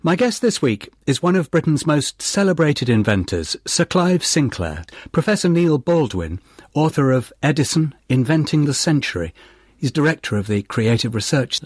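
Male presenter, fairly high voice, very varied intonation, close dry sound. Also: sing-song, normal speed, husky, dry recording. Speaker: radio host. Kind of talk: broadcast interview presenting.

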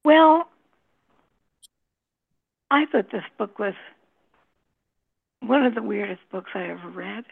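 A woman in a book group sharing her thoughts on a novel, slow and hesitant with many pauses. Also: mid-range voice, animated, vocal fry, husky. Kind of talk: casual discussion. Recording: tinny phone line.

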